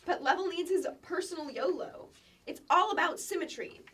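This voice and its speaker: A woman's voice: very high-pitched, moderate pace, a bit bright, far from the mic. An actress playing a bossy, precocious kindergarten girl with a pompous, officious manner in a staged comedy sketch reading. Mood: smug. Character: bossy, precocious kindergarten girl managing her twin brother.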